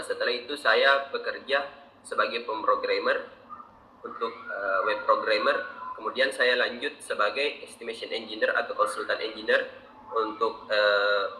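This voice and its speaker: Bright-voiced Indonesian man, roomy room. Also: fairly low pitch, a little hiss or hum. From Makassar, South Sulawesi, Indonesia. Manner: measured, methodical, pauses with fillers. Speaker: interviewee, engineer. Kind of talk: formal interview answers. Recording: roomy, distant mic, faint ambience.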